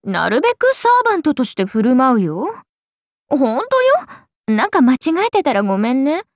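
A woman's voice: high-pitched, huge pitch swings, normal speed, no echo, studio quality, full character voice. A woman voicing a breezy, friendly grown serpent-goddess in a game, with chatty, teasing, laid-back slang. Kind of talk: game character dialogue.

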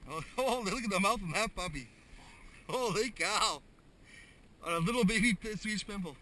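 A man's voice: high-pitched, moderate pace, sharp tone. A Canadian man chatting casually while fishing, with folksy mild exclamations and frequent "eh" tags. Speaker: ice fisherman filming a fishing vlog. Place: small ice fishing tent on a frozen lake. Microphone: body-worn or propped action camera. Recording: noisy action camera inside a tent.

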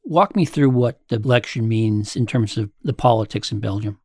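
A man's voice: lowish voice, moderate pace, darkish tone, no echo. Wry male podcast host, dry sound. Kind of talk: interview questions.

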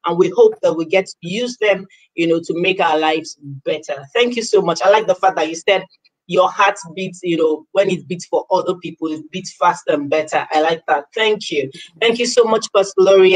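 A woman's voice: fairly low pitch, normal speed, low-quality recording. A young adult woman from Nigeria, hosting a live interview show over a video call, effusive and full of thanks. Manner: effusive, grateful, animated. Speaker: host. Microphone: laptop webcam on a video call.

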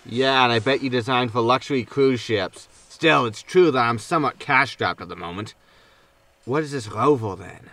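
A man's voice: mid-range voice, moderate pace, bright, forceful tone, distant mic. An actor playing a grumpy, sarcastic male spaceship captain in a sci-fi comedy audio drama, with a dry manner. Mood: skeptical, sarcastic. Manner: dry, sardonic.

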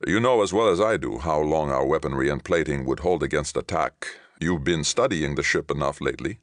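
A man's voice: very deep voice, fairly quick, no echo, studio quality. A man voicing a tense starship captain with a clipped military delivery in an audiobook. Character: tense, terse male starship captain under pressure. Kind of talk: audiobook character dialogue.

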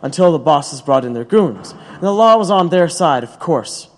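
Guy doing proud bitter union radical, earnest, slightly high voice, stage drama, lots of reverb. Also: expressive, normal speed. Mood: defiant. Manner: earnest, rhetorical. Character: defiant, principled male labor radical, proud and bitter. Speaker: actor in an audio drama. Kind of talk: dramatic audio play acting. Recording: long hollow reverb.

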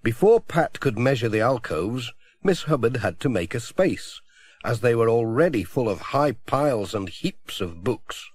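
A man's voice: medium pitch, very animated, unhurried, a little mellow, slightly rough. A middle-aged man reading a children's storybook aloud as narrator. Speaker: audiobook narrator. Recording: dry close audiobook recording.

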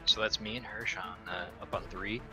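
A man's voice: on the low side, steady tone, moderate pace, pushed and bright, faint music behind. A man chatting casually as a player in a tabletop game, sweary and joking.

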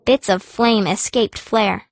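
A woman narrates a first-person fantasy audiobook as its young heroine, with expressive, dramatic storytelling. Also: medium pitch, slow, vocal fry, no echo.

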